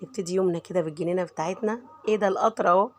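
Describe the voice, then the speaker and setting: Hoarse, chatty Egyptian woman vlogger. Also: lowish voice, very fast, slight background noise. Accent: Egyptian Arabic. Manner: warm, chatty, talks straight to viewers. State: hoarse from a cold. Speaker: home vlogger and mother. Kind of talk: chatty voice-over narration of home cooking.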